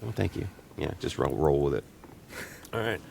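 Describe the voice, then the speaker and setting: A man with a rough, hoarse voice, sick, co-hosting a casual interview with joking, friendly banter. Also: rumbling low, very animated, moderate pace, a little mellow, slight background noise. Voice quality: rough, raspy. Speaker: podcast co-host interviewing guests. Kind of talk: casual chat.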